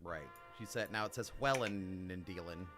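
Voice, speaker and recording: A man's voice: sits low, moderate pace, fairly bright tone, soft background music. A man co-hosting a comedy show with casual, jokey banter and agreeable interjections. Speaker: co-host.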